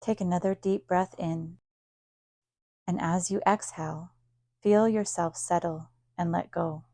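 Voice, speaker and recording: A woman leading a guided yoga nidra meditation as a teacher, speaking in a calm, soothing, unhurried manner with long pauses. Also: fairly low pitch, no room sound.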